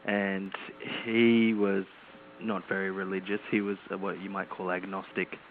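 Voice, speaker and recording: A man from Australia, calmly answering as an author being interviewed. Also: low voice, unhurried. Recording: phone line with faint noise.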